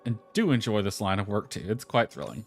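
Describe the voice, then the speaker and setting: A man's voice: lowish voice, big pitch swings, brisk. A man livestreaming a video game, talking casually to himself and reading game text aloud. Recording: music playing behind the voice. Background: lo-fi music.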